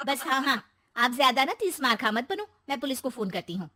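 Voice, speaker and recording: A woman playing an anxious, nagging younger woman of the household in an animated story, pleading and exasperated. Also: high-pitched, fairly quick, full character voice. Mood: worried. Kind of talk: Hindi animated story dialogue.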